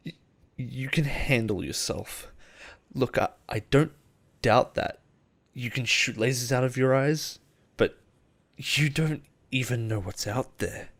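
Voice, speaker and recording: A man playing an obsessive, possessive boss in love with his assistant, in an intimate audio roleplay with halting, pause-filled delivery. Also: mid-range voice. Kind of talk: intimate dramatic roleplay monologue.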